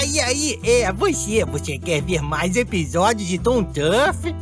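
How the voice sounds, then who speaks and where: Man voicing an animated, awkward cartoon animal. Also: sits high, takes it slowly, music in the background, heavily acted. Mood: flippant, pitching. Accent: Brazilian. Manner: hesitant, flippant, rambling. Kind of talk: animated cartoon dialogue dub.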